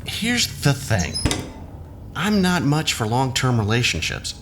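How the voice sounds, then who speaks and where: A man's voice: mid-range voice, very animated, slow, background noise. An actor playing a smug, scheming rival in an audio drama, glib and mocking as he talks.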